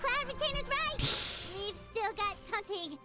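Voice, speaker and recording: A woman's voice: very high-pitched, animated, a little slow. A woman voicing a tiny, chirpy, frank floating girl companion in a video game, with a childlike way of talking. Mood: flustered, chatty. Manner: childlike, refers to self in third person. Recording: loud game music behind.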